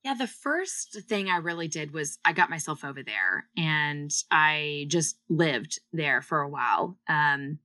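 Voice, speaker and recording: A woman's voice: sits low, lively intonation, a little slow, few pauses, bright, forceful tone, no room sound. A woman from the US and Singapore, a founder giving explanatory, conversational answers as an interview guest. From United States and Singapore.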